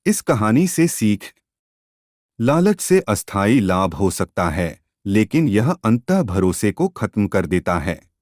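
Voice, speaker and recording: Guy narrating a moral story, slow and expressive, really dry close sound. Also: fairly low pitch, dry recording.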